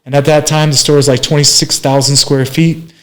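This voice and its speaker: A man's voice: fairly high pitch, flat delivery, a little fast. A man in his forties from Minneapolis, telling his life story as an interview guest in a rambling way, with many fillers and restarts. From Minneapolis, USA. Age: 40s. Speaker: guest, master barber.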